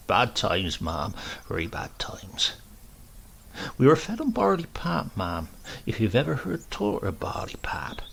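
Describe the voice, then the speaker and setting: Storybook rogue performed by a male reader: English countryman in his eighties, rustic accent, heavy gravel, swooping mock-pious deference. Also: lowish voice, huge pitch swings, a bit bright, exaggerated voice. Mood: mock remorse. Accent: rural English. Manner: rustic dialect, deferential, repeats himself slowly for effect.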